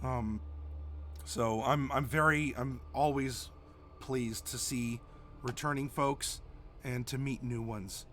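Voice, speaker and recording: A man with a cold, stuffy and a little hoarse, hosting a live stream in a rambling, casual way with many restarts. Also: mid-range voice, steady tone, slow pace, fairly bright tone, a little hiss or hum. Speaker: stream host.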